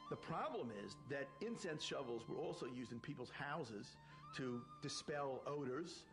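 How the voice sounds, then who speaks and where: A man's voice: medium pitch, lively intonation, measured pace, music in the background. A man, a scholar being interviewed, making skeptical, conversational points with wry asides. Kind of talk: interview commentary.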